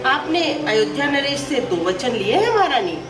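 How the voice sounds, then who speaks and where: A woman's voice: sits high, very animated, normal speed. A woman playing a scheming maidservant who goads her queen in an urgent, insinuating way in a theatrical mythological drama. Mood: goading. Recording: echoey, distant playback with loud music.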